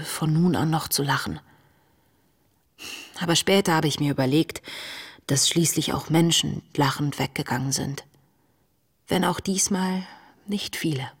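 A woman playing a compassionate young camp inmate reading out her letters, measured and reflective, in a radio play. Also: low-pitched, slightly rough, exaggerated voice. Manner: reflective, measured, sometimes hushed. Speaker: lead actress, letter reader. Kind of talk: intimate literary letter narration in a radio play.

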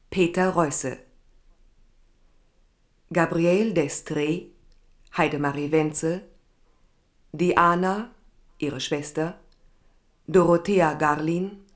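A woman reading scripted radio credits as an announcer, in a formal, measured manner. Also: on the low side.

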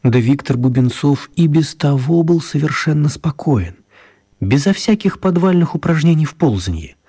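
A man with a husky, mellow voice narrating a radio play in an expressive storytelling style. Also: fairly high pitch, normal speed.

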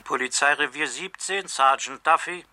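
An actor playing a stolid, skeptical police desk sergeant in a 1970s German radio thriller, questioning in a matter-of-fact, condescending way. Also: male voice, on the high side, moderate pace, background noise, natural voice. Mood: businesslike.

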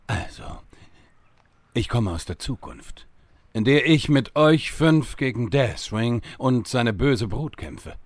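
Male actor as time-travelling wizard, bumbling, impatient, rambling, medium-pitched, dry studio sound, parody audio drama. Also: animated, takes it slowly, no room sound. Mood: sheepish. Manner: stammering, exasperated, rambling.

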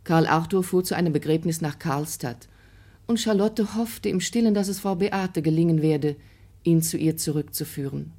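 A woman's voice: low-pitched, moderate pace, warm tone. A woman narrating a literary radio drama in a scripted storytelling style.

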